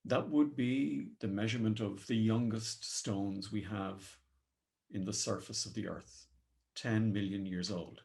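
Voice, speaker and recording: An Irish man with an Irish accent leading a guided meditation in measured, solemn, poetic phrases. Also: lowish voice, steady tone, gravelly, darkish tone. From Ireland.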